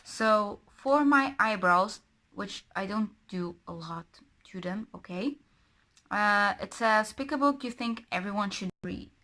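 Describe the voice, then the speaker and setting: Young girl vlogging her makeup, unhurried, lots of ums and corrections, bright voice. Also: mid-range voice, animated. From Portugal. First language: Portuguese. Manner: chatty, rambling, with many self-corrections. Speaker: book and beauty vlogger. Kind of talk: casual chat while doing makeup.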